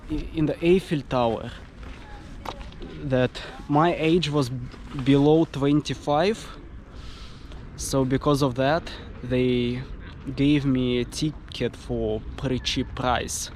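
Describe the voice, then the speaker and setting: Slow-talking young Russian man vlogging. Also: medium pitch, steady tone, darkish tone, slight background noise. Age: 20s. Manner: halting, with many fillers and restarts. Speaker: travel vlogger. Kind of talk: casual vlog talk to camera. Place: sightseeing spots around a city, partly outdoors.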